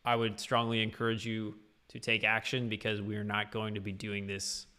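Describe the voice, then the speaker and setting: Casual male guitar teacher, rambly livestream delivery, bright tone. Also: on the low side, monotone, normal speed, clear, resonant tone. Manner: loose, rambling, full of fillers.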